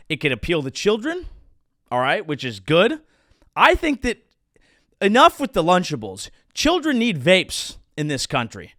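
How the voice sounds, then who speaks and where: A man's voice: fairly high pitch, pushed and bright, dry recording, studio quality. A man in his thirties hosting a comedy show, delivering a sarcastic monologue with mock-outraged rants. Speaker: comedian host.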